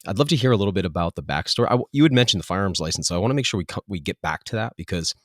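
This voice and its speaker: A man from the United States hosting a podcast, talking in a quick, chatty way full of "like" and "you know". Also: low-pitched, animated, a bit bright, no echo, studio quality. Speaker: podcast host. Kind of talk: casual conversational interview.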